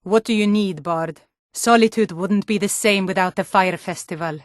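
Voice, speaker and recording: An actress playing a gruff, wary Nordic female guard and townswoman in a fantasy video game, speaking bluntly with a Nordic accent. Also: mid-range voice, normal speed, no echo, clean studio sound, natural voice.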